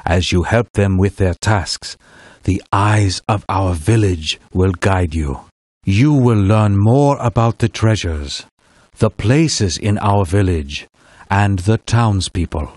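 An old mountain lama for a children's game. His voice is low and husky, and he speaks slowly and solemnly, weighing each phrase like cryptic counsel. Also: darkish tone, no room sound, clean studio sound. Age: older. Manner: measured, grave, cryptic. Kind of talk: children's educational game acting.

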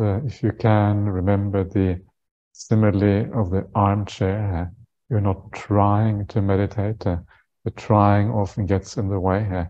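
A man in his fifties leads a guided meditation with a lowish, very mellow voice. He speaks gently and takes his time, pausing often and filling gaps with small uhs. The sound is a little muffled and lo-fi. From Norway. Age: 50s. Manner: gentle, unhurried, halting with frequent pauses and uhs. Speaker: Buddhist monk, meditation teacher.

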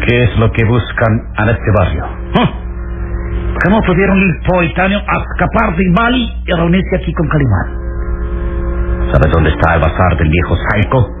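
An actor playing a suspicious, commanding villain boss in an old radio serial, theatrical, impatient and demanding. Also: male voice, medium pitch, wildly swooping pitch, moderate pace, background noise. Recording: tinny band-limited old radio serial, music.

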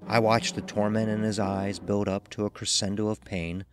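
An actor playing a cold, ruthless, dying rich gambler narrating a murder in a brooding, deliberate, theatrical horror style. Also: male voice, on the low side, level tone, faint music behind, natural voice. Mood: cold, menacing. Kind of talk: dramatic first-person horror audio narration.

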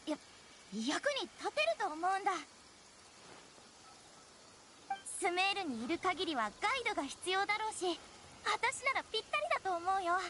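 A woman playing an earnest, shy teenage forest ranger girl in an anime game, with a soft, hesitant delivery. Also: very high voice, animated, fast, slight background noise, full character voice. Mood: eager, nervous. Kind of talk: Japanese anime game acting.

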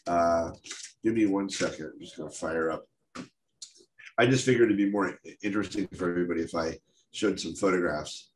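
An older man from the United States speaks in a very low voice, stuffy and congested from a head cold. He stops and restarts a lot and drops in wry asides as he chats over a webcam from his study, with a faint hiss behind him. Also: a little fast. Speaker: guest speaker. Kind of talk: casual talk over a video call. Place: home office or study, indoors. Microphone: video call webcam.

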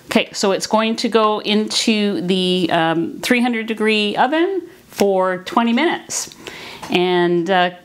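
The older woman you would hear at a home kitchen counter, slowly talking viewers through each step of a recipe in casual, everyday speech. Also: on the low side. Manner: conversational, explanatory, unhurried. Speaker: cooking video host. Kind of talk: casual cooking demonstration.

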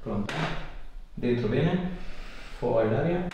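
A man's voice: mid-range voice, animated, takes it slowly. A man in his thirties, a chiropractor, giving a patient casual, reassuring instructions in short coaxing phrases. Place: small bare treatment room, indoors. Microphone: camera mic a few feet away. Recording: echoey room, distant mic, background noise.

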